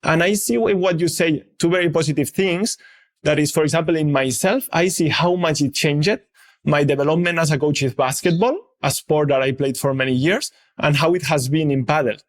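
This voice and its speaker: A man hosting an interview, asking questions carefully and pausing to find his words, with some restarts. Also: fairly high pitch. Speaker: interviewer, podcast host.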